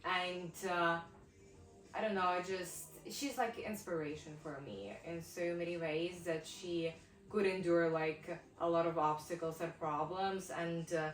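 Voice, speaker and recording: A woman's voice: fairly low pitch, unhurried, distant mic, faint music behind. Young Ukrainian woman chatting, echoey room. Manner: thoughtful, hesitant, many fillers. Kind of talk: casual chat.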